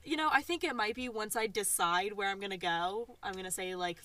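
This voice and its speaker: A woman's voice: mid-range voice, fairly quick, fairly bright tone, no echo. Young woman cohosting a podcast in a parked car, mid voice, dry jokey asides. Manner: wry, joking asides. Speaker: podcast co-host. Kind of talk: casual chat.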